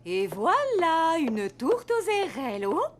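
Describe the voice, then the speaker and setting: A woman's voice: extremely high pitch, big pitch swings, slow, over music, exaggerated voice. An actress playing a kindly, flustered motherly housekeeper in a French cartoon, fussy and hesitant as she chatters. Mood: forced cheer. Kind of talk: French animated series dub.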